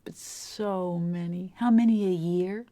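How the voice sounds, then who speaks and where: An actress playing a reverend's devoted, plain-spoken wife in a radio drama, gentle and soft, with a breathy voice. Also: female voice, lowish voice, fairly even intonation, slow pace. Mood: fond, musing. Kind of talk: literary radio drama dialogue.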